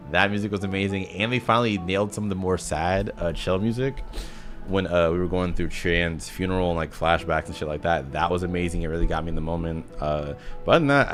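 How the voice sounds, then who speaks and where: A man's voice: low-pitched, fairly bright tone. A young man giving casual, blunt game reaction commentary with slang and swearing. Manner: slangy, blunt, profane, says bro often. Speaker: gaming reaction video creator.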